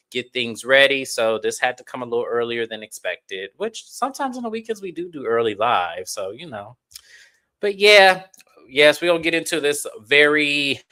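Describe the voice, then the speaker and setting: Bright-voiced man hosting a live recap. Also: mid-range voice. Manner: chatty, animated, addresses viewers directly. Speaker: host. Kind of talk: casual live recap commentary.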